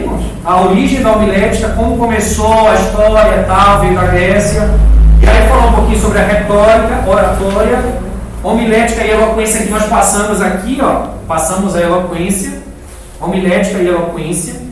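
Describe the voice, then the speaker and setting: Echoey, high-pitched Brazilian man teaching class. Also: brisk. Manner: didactic, conversational, repeats words for emphasis and puts questions to the class. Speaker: teacher of a church preaching class. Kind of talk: informal classroom lecture. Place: echoing indoor room, live class. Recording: very echoey room, distant mic, faint noise.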